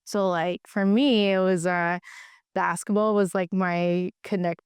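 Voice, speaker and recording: Smooth-voiced chatty woman guest. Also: lowish voice, fairly even intonation, unhurried, dry recording, studio quality. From Philippines. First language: Tagalog. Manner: chatty, heavy use of "like", frequent restarts. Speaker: podcast guest, physical therapist. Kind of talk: casual conversation.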